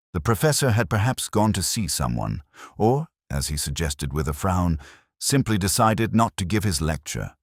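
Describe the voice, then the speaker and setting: Very deep-voiced male detective narrator. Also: no room sound, studio quality. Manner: measured, reflective, wry. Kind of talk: first-person detective story narration.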